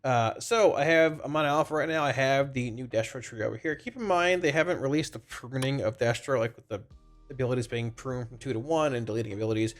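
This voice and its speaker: A man with a congested, slightly raspy voice from a cold, giving casual, rambling, explanatory commentary on a video game to his viewers. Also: mid-range voice, fast talker. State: congested, under the weather with a cold. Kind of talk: informal gaming feedback commentary to viewers. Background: background music.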